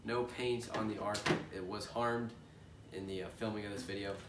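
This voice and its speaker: A man's voice: on the low side, steady tone, normal speed. Congested young man in an echoey room. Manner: rambling and chatty with frequent fillers. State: congested from allergies. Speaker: toy unboxing host. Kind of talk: casual unboxing chat. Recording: echoey room, distant mic, noisy background.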